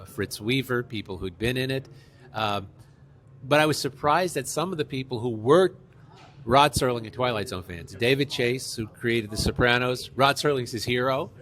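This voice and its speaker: A mature man speaks as an author guest in an interview, with a mid-pitched voice. He tells stories conversationally, quoting exchanges and repeating key phrases for emphasis. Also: normal speed, a bit bright. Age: middle-aged or older. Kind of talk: conversational interview, anecdotal storytelling.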